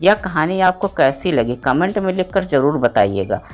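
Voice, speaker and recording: A woman's voice: sits low, very animated, moderate pace, faint music behind. A woman storyteller reading a scripted moral story as narration.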